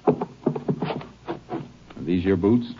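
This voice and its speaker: An actor playing a calm, terse, authoritative Texas Ranger in an old radio western. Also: male voice, sits low, drawn-out words, soft background music. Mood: businesslike. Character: calm, firm, laconic male Texas Ranger lawman. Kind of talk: 1940s-50s radio western acting. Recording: noisy, muffled old radio recording.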